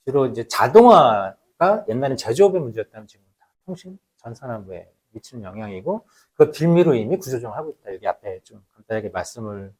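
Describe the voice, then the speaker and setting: A man's voice: mid-range voice, a little mellow. A man giving an explanatory lecture as a researcher, emphatic and repeating his key points.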